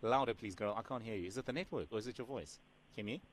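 A man's voice: fairly low pitch, fast talker, no room sound, close to an everyday voice. A man playing a sassy, overfamiliar prank caller who keeps calling the listener "girl" and "doll". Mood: sassy teasing. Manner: teasing, calls her "girl" and "doll". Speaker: radio host doing a prank call.

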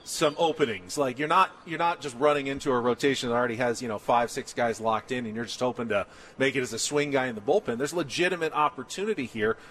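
A man's voice: medium pitch, quick pace, fairly bright tone. Male sports radio host, mid-range voice, chatty and conversational, rolls through long thoughts. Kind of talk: casual sports talk radio. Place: outdoor patio at a ballpark complex.

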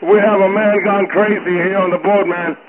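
A distressed man speaking haltingly as a boat captain making a distress call over marine radio. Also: high voice, level tone, a crowd in the background. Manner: halting, repetitive. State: agitated, distressed. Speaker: fishing boat captain calling mayday. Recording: phone-like radio transmission, busy background voices.

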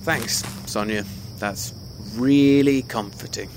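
Man acting young ex-boyfriend, audio drama, middle pitch, bitter, sardonic, hurt, noisy night insect ambience. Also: slow, natural voice. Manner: sardonic, dismissive, clipped.

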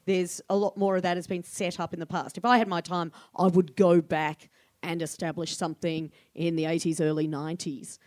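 Older Australian woman, low voice. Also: little pitch movement, moderate pace, a little mellow, dry recording. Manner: chatty and candid, many fillers and restarts, laughs often. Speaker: comedy room programmer, guest. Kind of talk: live podcast conversation.

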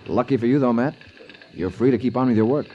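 An actor playing a businesslike, matter-of-fact FBI agent in charge in a 1950s radio drama. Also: male voice, medium pitch, fast talker, darkish tone, close to an everyday voice. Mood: brisk, calm. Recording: dull, faintly noisy old radio recording.

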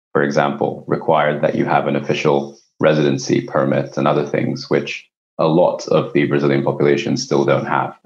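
Very deep-voiced male interview guest. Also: lo-fi sound. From Japan. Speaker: company CEO, podcast guest. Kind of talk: conversational interview answers, explanatory.

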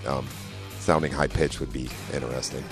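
A man with a deep voice hosting a call-in car radio show, talking in a friendly, chatty way with lots of fillers. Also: steady tone, normal speed, faint music behind. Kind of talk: casual talk radio hosting. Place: radio studio. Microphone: studio microphone.

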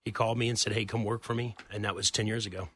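A man's voice: lowish voice, fairly even intonation, very fast, fairly bright tone, husky, no room sound, studio quality. A middle-aged man from Texas, a guest on a radio talk show, affably explaining at length.

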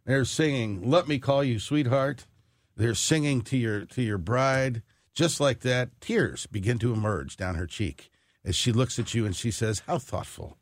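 A man's voice: medium pitch, unhurried, dry recording, clean studio sound. A middle-aged American man hosting live radio with a showman's flair for announcing and storytelling. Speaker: radio show host. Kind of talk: live radio banter and interview.

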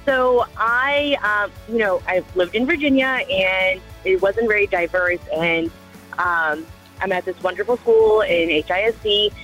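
Female teacher caller, Hindi first language, halting, ordinary pitch. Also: faint music behind, rough recording. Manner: warm, a bit hesitant with fillers. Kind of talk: phone-in chat.

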